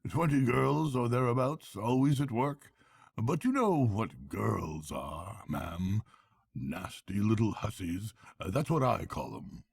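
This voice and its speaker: A man voicing a pompous, boastful male toad in a storybook reading, gravelly and husky. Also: fairly low pitch, very animated, takes it slowly, dry recording, pitched above the performer's own voice, exaggerated voice. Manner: airy bragging, turning nervous. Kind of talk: storybook character voice.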